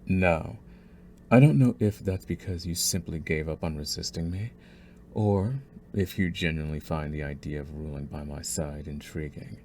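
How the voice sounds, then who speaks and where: A man playing a possessive, scheming young mafia boss in an ASMR roleplay, smug and deliberate, with mock courtesy. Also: rumbling low, darkish tone, a little hiss or hum. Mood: coolly coaxing. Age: young adult.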